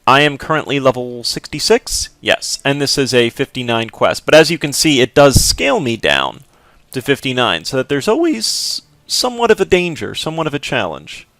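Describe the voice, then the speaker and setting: A man casually commenting on his game playthrough, relaxed and rambling with fillers. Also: mid-range voice, moderate pace, no echo. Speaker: gameplay commentator. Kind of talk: casual let's play commentary.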